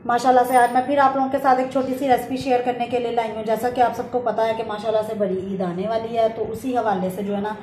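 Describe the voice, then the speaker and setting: Lady doing a casual cooking vid, polite and chatty, bit high pitched, echoey kitchen. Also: little pitch movement, fast talker, few pauses, a little mellow, a little hiss or hum. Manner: chatty, polite, peppered with religious blessings. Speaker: home cooking channel host. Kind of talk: casual step-by-step recipe narration. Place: home kitchen.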